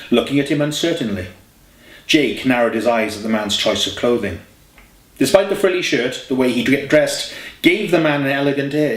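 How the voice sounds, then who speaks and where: A man reading a scripted story as an audiobook narrator. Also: mid-range voice. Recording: roomy, echoey home recording.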